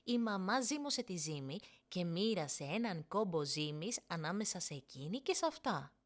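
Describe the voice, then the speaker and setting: Woman narrating children's stories with lively pitch. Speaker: audiobook narrator. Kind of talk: expressive scripted children's story narration.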